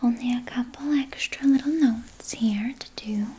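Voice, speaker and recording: A woman voicing a sly, doting vampire nurse in a breathy, soothing whisper for an ASMR roleplay. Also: fairly high pitch, slight background noise, close to an everyday voice. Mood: gently attentive. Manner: slow, soothing, coaxing, softly articulated. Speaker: ASMR performer.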